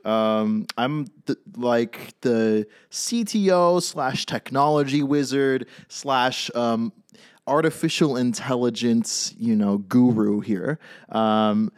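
A man's voice: medium pitch, slow, smooth, no room sound, clean studio sound. A young man from California with a Californian accent, co-hosting a casual podcast, joking and rambling with many ums. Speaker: podcast co-host. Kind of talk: casual chat.

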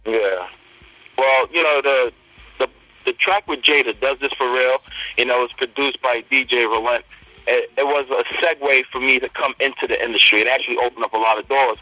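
A man's voice: mid-range voice, normal speed, bright, forceful tone, no echo, low-quality recording. A young man from Trinidad, a rapper, talking confidently and promoting himself in a casual interview. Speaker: rapper guest.

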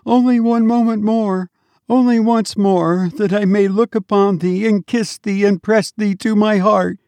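A man's voice: sits high, steady tone, measured pace, darkish tone, dry recording, voice pushed up. A man voicing a grieving mother who implores her lost child to stay, as part of a storybook reading. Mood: imploring. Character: grieving young mother, desperate and loving.

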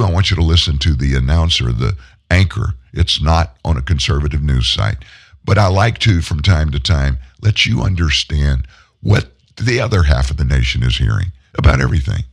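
Talk show host, male, very deep and hoarse from illness, folksy and opinionated. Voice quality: deep, rough from a long flight. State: hoarse, unwell. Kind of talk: casual political talk show monologue.

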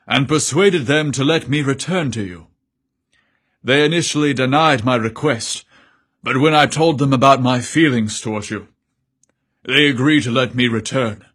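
Guy as a big merman lover from a storybook romance, sure of himself, affectionate, bit husky. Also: mid-range voice, fairly bright tone. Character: huge male merman, tender, confident, teasing lover.

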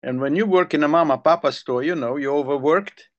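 A man's voice: on the high side, brisk, dull audio. An older man with a Hungarian accent, telling long rambling stories in a casual chat. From Ukrainian Soviet Republic. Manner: rambling storyteller, repeats words when restarting.

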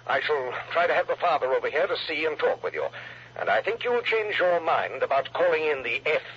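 Fussy, lively-pitched British insurance man. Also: a little fast, breathy edge, full character voice. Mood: pleading. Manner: hesitant, roundabout, formal. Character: fussy, long-winded, polite British male insurance manager. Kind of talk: 1960s radio drama acting. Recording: dull old radio recording.

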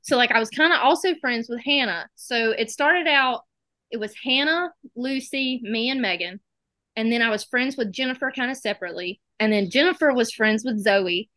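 Bright-voiced Southern woman, chatty storyteller. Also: on the high side, normal speed, no echo. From South Alabama. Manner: chatty storytelling, acts out quoted exchanges. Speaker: dental student guest. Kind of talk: casual chat.

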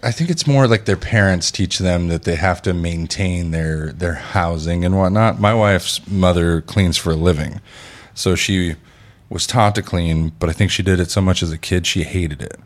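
Very deep-voiced man telling animated stories. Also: normal speed. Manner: animated storyteller, acts out quotes, swears freely. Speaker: podcast host. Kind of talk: casual chat.

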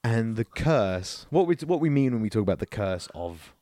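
A man's voice: on the low side, big pitch swings, moderate pace, warm tone, no echo, clean studio sound. A man co-hosting a podcast, chatting casually about films in a sweary, joking, informal way. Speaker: podcast co-host.

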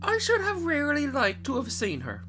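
A man's voice: very high-pitched, huge pitch swings, normal speed, background noise, pitched above the performer's own voice, exaggerated voice. A man voicing a spirited, self-assured young servant heroine in a storybook, assertive, with music behind. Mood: sweet, assured. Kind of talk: animated storybook reading.

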